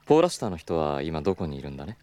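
A man playing a suave, wealthy young gentleman in an audio drama, speaking in a knowing, teasing and patronizing way. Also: rumbling low, big pitch swings, racing, no room sound, soft background music. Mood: composed, courtly.

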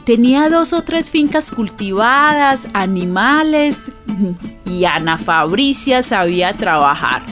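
A woman's voice: fairly high pitch, sing-song, slow pace, over music. An actress playing an earthy, chatty Colombian woman narrating a radio drama, confiding and colloquial as she recalls a friend. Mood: fond, reminiscing.

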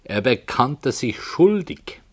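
An actor playing a stubborn, calm radio playwright who narrates his dark story with deliberate literary phrasing in a 1960s German radio drama. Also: mid-range voice, breathy edge, exaggerated voice. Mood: measured. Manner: measured, deliberate.

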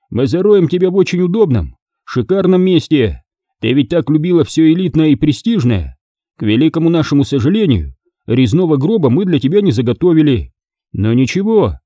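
A man's voice: on the high side, sing-song, normal speed, warm tone, no room sound, clean studio sound, pitched above the performer's own voice. A man playing a cold-blooded, mocking thug in an audiobook, taunting and domineering as he interrogates. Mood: mocking menace.

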